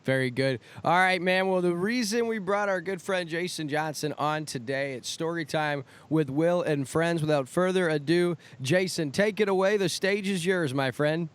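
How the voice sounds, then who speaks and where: A man's voice: fairly high pitch, moderate pace, few pauses, clean tone. A man hosting an interview in an upbeat, friendly and encouraging way, with polished delivery. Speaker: show host.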